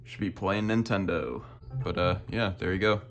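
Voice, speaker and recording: A raspy young man who just woke up, giving a laid-back, blunt tutorial that he peppers with casual swearing. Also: sits low, moderate pace, dull audio, music in the background. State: just woken up. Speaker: retro gaming YouTuber.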